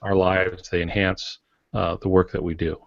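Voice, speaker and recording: A man's voice: deep voice, level tone, moderate pace, no room sound. An older man talking casually on a podcast as a co-host, giving writing advice in a folksy way with homespun metaphors. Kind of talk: casual advisory podcast talk. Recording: dull, lo-fi, dry podcast audio.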